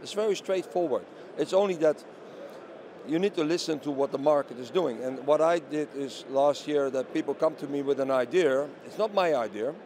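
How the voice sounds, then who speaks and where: Man, slightly high pitch, mellow tone, explanatory product demo, asks and answers himself, faint exhibition hall noise. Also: moderate pace. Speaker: company representative being interviewed. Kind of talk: product demonstration interview. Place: busy trade show hall booth. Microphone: handheld interview microphone held by interviewer.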